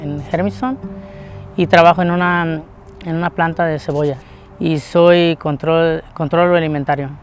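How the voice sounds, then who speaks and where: A middle-aged man from Mexico who works at a food plant, speaking plainly and haltingly in a documentary interview. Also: a little high, steady tone, faint music behind. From Mexico (Guanajuato). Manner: halting, plain-spoken, repeats words as he searches. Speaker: onion plant food-safety worker.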